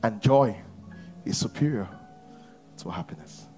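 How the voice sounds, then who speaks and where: A man preaching a sermon to his church, at times rising to shouts. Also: fairly low pitch, animated, unhurried, faint music behind. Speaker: pastor.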